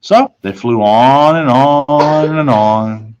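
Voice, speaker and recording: A man reading a children's storybook aloud in a dramatic manner, with deliberate pauses. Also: medium pitch, a little mellow, smooth, dull audio. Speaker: storybook reader.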